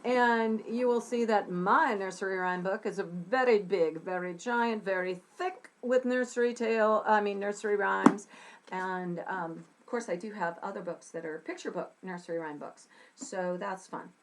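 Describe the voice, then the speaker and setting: A middle-aged woman from Texas teaching scriptwriting in a chatty, animated way, talking to a webcam in a small room. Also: mid-range voice, moderate pace, slight background noise. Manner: chatty, animated, gesturing with her hands. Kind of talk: casual talk to camera. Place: small room with a dark curtain behind.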